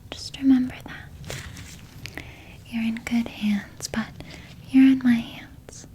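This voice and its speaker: Woman as a whispering, slow vampire nurse. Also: on the high side, fairly even intonation, dark tone, slight background noise, rough recording. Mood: veiled menace. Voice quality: breathy whisper. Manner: slow, soothing, coddling with veiled threat. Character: vampire nurse, sweetly doting yet quietly menacing. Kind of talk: ASMR roleplay, personal attention.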